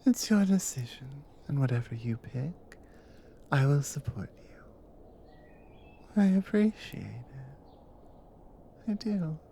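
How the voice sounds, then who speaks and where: A woman playing a devoted, protective Nordic adventurer wife in an intimate ASMR roleplay, drowsy and tender, breathy and often slipping into a whisper. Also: extremely low pitch, animated, slight background noise, full character voice. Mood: drowsy affection. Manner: slow, soft, tender with pauses.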